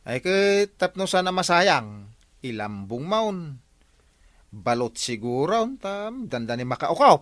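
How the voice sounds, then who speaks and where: A performer plays a scheming village watch volunteer in an Ilocano radio comedy. The mid-pitched voice asks and coaxes inquisitively in a northern Philippine accent, recorded very dry. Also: sing-song, slow pace, a bit bright, no room sound. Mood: coaxing. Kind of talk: comedy radio drama acting.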